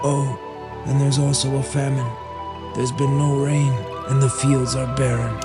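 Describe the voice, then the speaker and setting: A man's voice: medium pitch, level tone, measured pace, over loud music, natural voice. A man as a worried, cautious royal aide. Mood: grave, worried. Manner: informative, cautious. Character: worried loyal aide reporting bad news. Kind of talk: fantasy audio drama acting.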